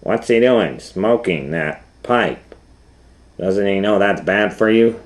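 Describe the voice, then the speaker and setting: A man's voice: lowish voice, slow pace. A man voicing an innocent, curious child who interrupts a storybook reading with questions. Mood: concerned.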